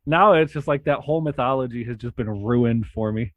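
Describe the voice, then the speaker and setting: A man hosting a casual podcast chat, relaxed and heavy on filler words. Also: a little fast, phone-quality sound, slight background noise. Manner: relaxed, lots of "like" fillers, rises in pitch when excited. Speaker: podcast host.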